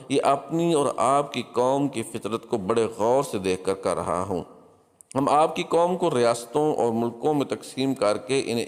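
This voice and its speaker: A man's voice: medium pitch, lightly acted. A man voicing a captured crusader spymaster in a storybook tale, coolly defiant, with solemn, declamatory delivery. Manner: solemn, declamatory, emphatic address. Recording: long hall-like reverb.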